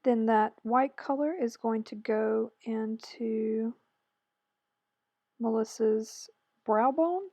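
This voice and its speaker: A woman with a hoarse, raspy voice chatting through a makeup tutorial voice-over, rambling and correcting herself as she goes. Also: on the high side, slow pace, long pauses, warm tone, no room sound, lo-fi sound. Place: voice-over recorded separately from the video.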